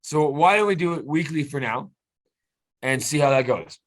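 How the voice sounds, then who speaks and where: A man's voice: on the high side, lively intonation, normal speed, rough recording. Hesitant Canadian man on a call. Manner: hesitant, many restarts and fillers. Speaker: client. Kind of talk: casual video call chat. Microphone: video call.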